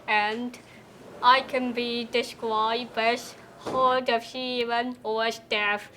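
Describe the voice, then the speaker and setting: A woman in her twenties telling her own story in a measured, reflective way. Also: fairly high pitch, little pitch movement, pushed and bright, soft background music. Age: 20s. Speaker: young adult sharing her life story. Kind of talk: personal testimonial to camera.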